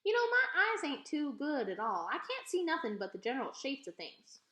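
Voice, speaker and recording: Woman playing folksy old lady, high-pitched and animated. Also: moderate pace, roomy sound, exaggerated voice. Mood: welcoming. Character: old toothless Southern woman, kindly, amused, welcoming.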